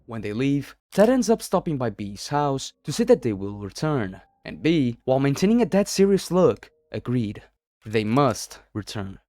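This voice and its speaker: A man's voice: mid-range voice, sing-song, moderate pace, warm tone, no echo. Male horror video narrator, dramatic and very varied intonation, dry studio-like sound. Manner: dramatic storytelling. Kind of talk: scripted horror-story narration.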